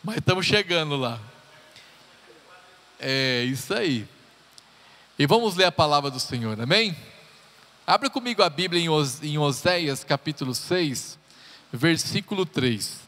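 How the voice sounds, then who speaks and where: A man's voice: fairly high pitch, animated, unhurried, pauses often, bright, forceful tone. A man in his thirties, a pastor giving a chatty, joking sermon introduction with personal stories to his congregation. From Brazil. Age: 30s. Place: church hall. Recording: live church hall reverb.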